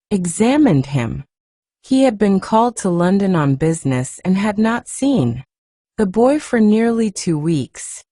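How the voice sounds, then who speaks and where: A woman narrating a storybook in a soft, gentle, expressive way. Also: fairly low pitch, no echo, studio quality. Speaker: audiobook narrator.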